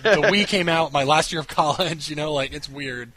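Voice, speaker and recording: Fast-talking man in his late twenties. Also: a little high, sharp tone, no echo. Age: late 20s. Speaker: podcast panelist. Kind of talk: casual chat about video games.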